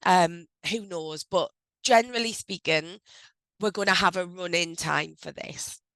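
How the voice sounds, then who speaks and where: Woman, croaky from a cold. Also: on the low side, fairly bright tone, no room sound, rough recording. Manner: loose and conversational, with frequent ums and self-corrections. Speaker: HR advisor hosting an online briefing. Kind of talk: informal presentation on a video call. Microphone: video call microphone.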